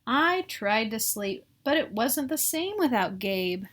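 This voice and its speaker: A woman's voice: a little high, sing-song, slow pace, a bit bright, a little hiss or hum. A middle-aged woman with an American accent reads a picture book aloud, adding casual asides. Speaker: storybook reader. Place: small room at home.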